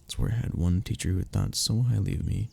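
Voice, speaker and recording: A young man playing a wistful, lovestruck childhood best friend in an intimate audio roleplay, speaking in a halting, sighing, confessional way. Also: low voice, vocal fry, darkish tone, breathy edge, full character voice. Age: young adult.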